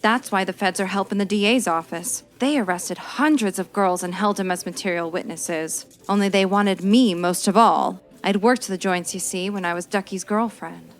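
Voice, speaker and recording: An actress playing a streetwise, anxious gangster's moll on the run, pleading in 1940s radio noir style. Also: female voice, mid-range voice, moderate pace, few pauses, natural voice. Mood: pleading, confessing. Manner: pleading, streetwise slangy talk.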